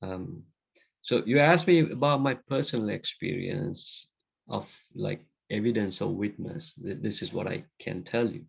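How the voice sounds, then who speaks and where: Man, middle-aged, from Sri Lanka, gravelly, lo-fi video call audio, casual Q&A answers with restarts. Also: fairly low pitch, a little slow. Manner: conversational, thinking aloud with restarts and fillers. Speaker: guest speaker answering student questions.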